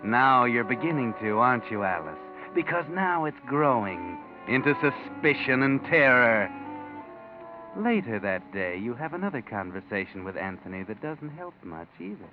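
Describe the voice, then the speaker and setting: An actor playing a knowing, ominous narrator of a 1940s radio mystery, needling the listener with insinuating questions. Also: male voice, medium pitch, sing-song, moderate pace, natural voice. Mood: insinuating, taunting. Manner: addresses the heroine directly with needling questions. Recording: tinny 1940s radio recording, music behind.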